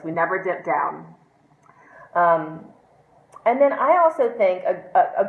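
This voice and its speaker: A congested, stuffy-sounding woman talks newcomers through a lesson in a relaxed, encouraging way, with lots of ums. Her voice sits a little low. The sound is dull and lo-fi, with a roomy echo. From United States. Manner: chatty, many ums, encouraging. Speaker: team trainer. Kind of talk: informal training talk to camera. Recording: echoey room, dull lo-fi sound.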